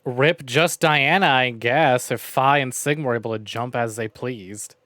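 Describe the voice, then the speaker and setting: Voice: mid-range voice, unhurried, a bit bright, no echo. A streamer giving casual, jokey and sweary live commentary while playing a visual novel. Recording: game music behind the voice. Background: video game music.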